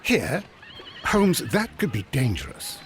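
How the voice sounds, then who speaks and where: An earnest gentleman, companion to a Victorian detective in a game cutscene. His fairly high voice moves up and down a lot, cautious and questioning. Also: sing-song, slow pace, slight background noise, full character voice. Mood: concerned. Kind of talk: video game cutscene acting.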